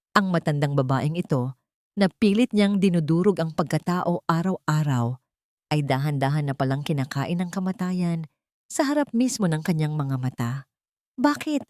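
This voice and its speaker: A woman storyteller reading scripted dramatic fiction with solemn, deliberate emphasis. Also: low voice, darkish tone, no echo, clean studio sound.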